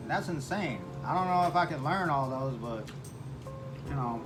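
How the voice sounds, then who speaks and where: A man from Michigan talks casually on a mukbang vlog, rambling and pausing with fillers as he explains food. His voice sits a little high for a man. Clear background music runs under him. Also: expressive, rough recording. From Michigan, United States. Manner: rambling, halting, many fillers. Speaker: vlog co-host.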